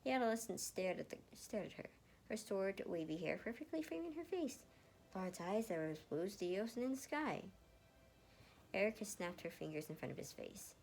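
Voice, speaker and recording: Animated woman reading a story. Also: medium pitch, fairly quick, creaky, a little hiss or hum. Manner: animated, stumbles and re-reads words. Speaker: fan fiction reader. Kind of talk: expressive amateur story reading.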